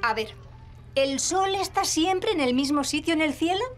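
A woman's voice: high voice, moderate pace. A woman with a Castilian Spanish accent playing a cheerful, patient preschool teacher in a children's cartoon dub. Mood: patient, encouraging. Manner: animated, patient, explaining to small children. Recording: music behind the voice.

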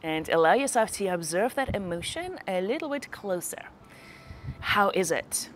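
A woman leading a guided meditation, giving slow, gentle instructions with long pauses between phrases. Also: medium pitch, very animated, slight background noise.

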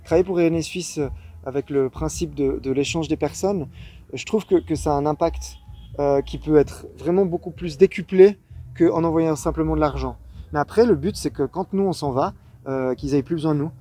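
Thirties male volunteer, warm low-key tone, halting casual delivery. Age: 30s. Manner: hesitant, searching for words. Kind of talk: casual testimonial. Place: outdoors in a garden.

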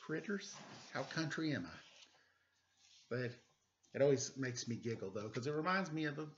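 A middle-aged man with a playful, animated, self-deprecating manner, doing a children's read-aloud with casual, joking asides into a webcam at home. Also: medium pitch, normal speed. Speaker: storytime reader. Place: indoors, room at home. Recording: dull webcam audio, noisy background, clicks.

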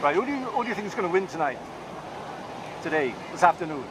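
A man doing quick, encouraging street interviews in a busy outdoor city square. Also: sits high, sing-song. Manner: chatty, encouraging, quick questions. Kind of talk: vox pop interviews. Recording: loud outdoor noise.

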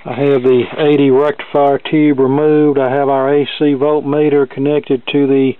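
Even-toned man, narrow phone-like sound. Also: fairly high pitch, clean tone. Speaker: hobbyist radio repair demonstrator. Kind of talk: hands-on repair walkthrough, talking through steps. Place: indoor cluttered electronics workbench.

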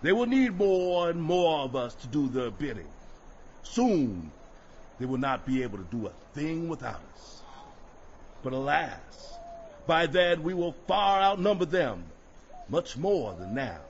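An actor playing a fervent escaped slave who addresses a crowd in deliberate, emphatic oratory in an audio drama. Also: male voice, fairly high pitch, sing-song. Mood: fervent, persuasive. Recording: muffled, slightly noisy audio drama recording.

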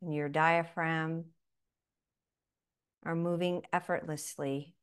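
A woman leads a guided breathing meditation in a smooth, clear voice. She speaks very slowly and softly, leaving long pauses between short phrases. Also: deep voice, flat delivery. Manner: slow, soft-spoken, with long pauses.

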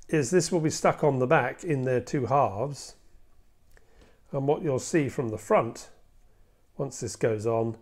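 A man's voice: medium pitch, a little mellow. A man in his sixties giving a casual, hands-on hobby walkthrough, explaining step by step with some hesitation. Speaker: hobby video presenter. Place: indoor hobby room at a workbench.

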